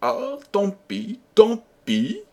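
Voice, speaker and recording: A scheming male courtier from a storybook, acted out by a man narrating. He sounds deferential yet malicious, insinuating with false nonchalance in a lilting, unhurried, slightly husky voice. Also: medium pitch, sing-song, full character voice. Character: sly, malicious, smooth-talking male courtier, feigning nonchalance.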